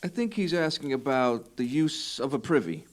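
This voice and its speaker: A man's voice: mid-range voice, lively intonation, moderate pace, close to an everyday voice. An actor playing a nerdy teenage boy who asks earnest, curious questions. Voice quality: earnest and inquisitive. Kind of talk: audio drama acting.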